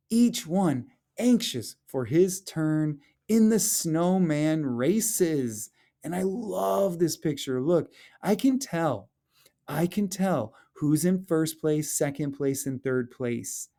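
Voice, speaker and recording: A man reading a picture book aloud as a teacher, chatting to children and explaining the pictures with expressive, drawn-out phrasing. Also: on the high side. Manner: questions and chats to children, explaining illustrations.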